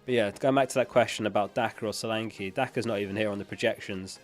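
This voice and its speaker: Low-pitched man hosting a casual livestream. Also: steady tone, fast, soft background music. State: losing his voice after being unwell. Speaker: livestream host. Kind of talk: casual live-stream chat, reading and answering viewer questions. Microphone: close dynamic studio microphone.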